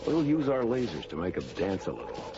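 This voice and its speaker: An actor playing an easygoing, confident space adventurer with a casual, folksy manner in a radio drama. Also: male voice, deep voice, huge pitch swings, brisk, exaggerated voice. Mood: relaxed, confident. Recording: dull, noisy radio drama recording.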